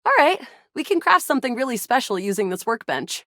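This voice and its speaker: A woman's voice: a little high, big pitch swings, a little fast, a bit bright, no room sound, studio quality. A woman voicing a cocky young female space soldier in a video game, brash, dramatic and self-assured. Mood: upbeat. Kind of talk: video game character dialogue.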